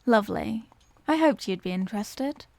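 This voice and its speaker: A woman's voice: mid-range voice, expressive, normal speed, vocal fry, darkish tone, no echo. A woman playing a refined, courteous Victorian vampire lady in an audio roleplay, speaking in formal, old-fashioned phrases. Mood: gracious, inviting. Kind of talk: ASMR audio roleplay, scripted character acting.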